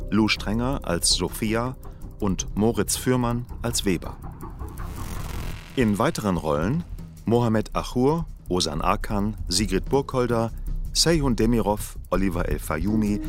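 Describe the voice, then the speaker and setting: Music plays clearly behind a male announcer with a lowish voice. He reads radio drama credits in a measured, matter-of-fact, scripted way. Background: prominent music.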